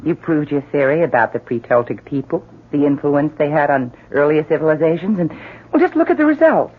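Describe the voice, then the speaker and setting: A woman's voice: low-pitched, lively intonation, fairly quick. An actress playing a doting, defensive wife and mother in a 1970s radio drama, emphatic and theatrical in delivery. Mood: admiring, coaxing. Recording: muffled vintage radio broadcast.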